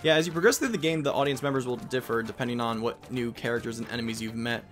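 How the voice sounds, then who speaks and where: A man's voice: mid-range voice, fast, fairly bright tone. A man casually commenting on a video game as he plays, rambling with jokey asides. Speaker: let's play host. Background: game music.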